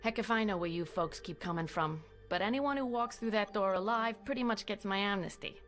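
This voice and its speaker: An actress playing a wry, no-nonsense American small-town sheriff, plainspoken, folksy and firm, in a video game cutscene. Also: female voice, medium pitch, brisk, fairly bright tone, faint music behind, natural voice.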